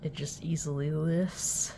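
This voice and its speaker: Slow, sniffly woman unboxing a gadget. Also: low voice, steady tone, gravelly, mellow, slight background noise. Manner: hesitant, halting, many fillers. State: sniffly with a cold. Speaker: unboxing video host. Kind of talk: casual unboxing commentary.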